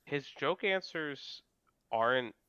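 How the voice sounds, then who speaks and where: A man chatting over a game with a friend, agreeable and hedging, his sentences trailing off. His tone is bright and forceful, recorded dry and a little dull. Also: mid-range voice, lively intonation, takes it slowly, clean tone, no echo. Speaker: co-host. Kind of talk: casual game commentary.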